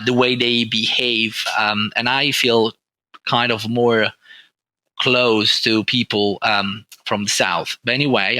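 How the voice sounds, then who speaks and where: A man's voice: fairly low pitch, gravelly, bright, forceful tone, no echo, poor audio. An Italian-accented man, an oncologist guest, answering interview questions in a hesitant, conversational way, middle-aged. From Italy. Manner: hesitant, many fillers and restarts.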